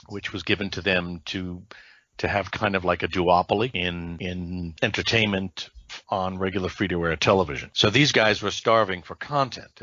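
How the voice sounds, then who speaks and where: Man, interview guest, very deep voice, conversational explaining with asides, dry slightly muffled recording. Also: sharp tone, no echo. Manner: explanatory, with self-corrections and asides. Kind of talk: casual recollection in conversation.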